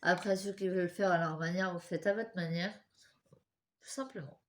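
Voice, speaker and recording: A woman's voice: on the low side, fairly even intonation, normal speed. Young woman with a head cold, congested nose, talking viewers through a craft tutorial in a chatty, apologetic way. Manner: chatty, apologetic, talks while working with her hands. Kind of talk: casual step-by-step craft tutorial.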